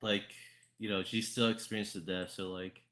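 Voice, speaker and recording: A man explaining things in casual conversation, halting and often repeating his phrases as he goes. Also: fairly low pitch, flat delivery, pushed and bright. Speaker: podcast guest.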